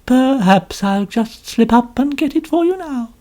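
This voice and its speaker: Storybook figure: high, loud, theatrical lady novelist, complaining and self-important, put on by the narrator. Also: lively intonation, a little slow. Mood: gushing. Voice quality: complaining, melodramatic. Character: pompous, gushing older woman novelist.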